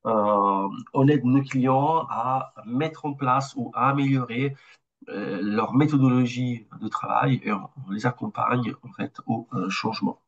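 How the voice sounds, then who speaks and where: A man in his forties from Italy with an Italian accent, a bit ill, presenting a webinar as a consultant and speaking haltingly with many hesitations. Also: medium pitch, highs cut off. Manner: halting, with frequent hesitations and restarts. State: slightly ill.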